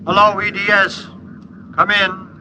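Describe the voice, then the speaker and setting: An actor playing a worried, curt outpost radio operator in a 1950s radio drama, clipped and businesslike over a transmission. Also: male voice, high voice, level tone, a little slow, sharp tone, heavily acted. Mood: tense, businesslike. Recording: slightly noisy, thin radio transmission.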